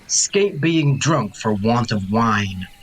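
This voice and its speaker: A man playing a blunt, sardonic nobleman in a Shakespeare audio drama, with a husky voice and a needling edge. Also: on the low side, animated, takes it slowly, exaggerated voice. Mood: mocking.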